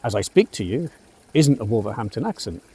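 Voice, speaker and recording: A middle-aged British man from the West Midlands, now with a neutral British accent, teaching English in a relaxed, chatty way, recorded on an outdoor café terrace. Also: on the low side, fairly quick, husky. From England, West Midlands. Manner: relaxed, chatty, with fillers and asides. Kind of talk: casual spoken lesson to camera.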